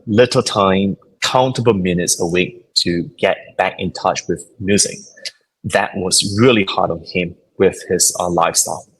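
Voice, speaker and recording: A man's voice: deep voice, slightly rough, poor audio. A man who grew up in Singapore, a guest coach on a podcast, explaining his ideas thoughtfully with frequent fillers. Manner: thoughtful, explanatory, with fillers and non-native grammar. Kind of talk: conversational interview answers.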